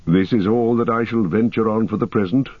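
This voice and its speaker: A man voicing a wise old wizard who speaks with deliberate, reassuring authority in an audiobook. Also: on the low side, steady tone, dry recording, dull audio, close to an everyday voice. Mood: grave, reassuring. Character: wise old male wizard guiding weary travellers.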